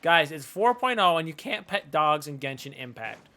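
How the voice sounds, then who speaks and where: Bright-voiced young man streaming a game. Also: fairly high pitch, sing-song, normal speed. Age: 20s. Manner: slangy, reactive, jokey. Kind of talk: casual live game commentary. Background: faint game music.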